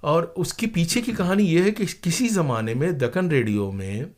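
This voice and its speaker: A man's voice: fairly high pitch, lively intonation, normal speed, darkish tone. An older man, telling stories conversationally as an interview guest, with digressions and acted-out quotes. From India. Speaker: interview guest, broadcaster and playwright. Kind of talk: conversational anecdotal storytelling.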